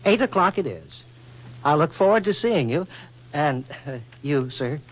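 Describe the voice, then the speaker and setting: An actor playing an earnest, naive government official with a formal, precise manner in a 1940s radio comedy. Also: male voice, fairly high pitch, lively intonation, measured pace, noisy background. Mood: politely pleased. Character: stiff, polite, earnest male government official, naive about romance. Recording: dull vintage radio recording with music behind.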